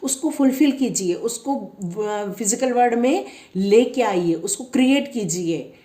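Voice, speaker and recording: A woman with a sore, slightly strained throat, giving a pick-a-card tarot reading in a gentle, encouraging way. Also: a little high, lively intonation, unhurried. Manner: gentle, encouraging, repetitive guidance. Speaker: tarot reader. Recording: echoey room.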